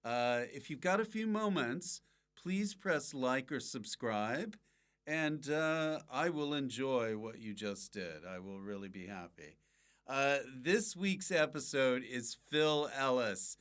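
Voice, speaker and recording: A man hosting a podcast in casual comic chat, with a deadpan, offbeat manner. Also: medium pitch, slow, smooth. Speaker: podcast host.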